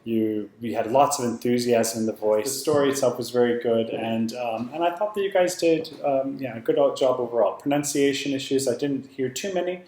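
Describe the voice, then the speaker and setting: A man giving unscripted feedback as a competition judge, speaking in a hesitant, halting way. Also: medium pitch, darkish tone. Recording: very echoey room.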